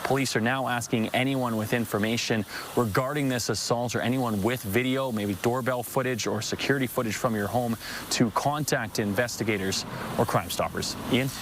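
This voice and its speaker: A man's voice: medium pitch, normal speed. Male reporter live from the street. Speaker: field reporter. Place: outdoors on a city street. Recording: street noise behind.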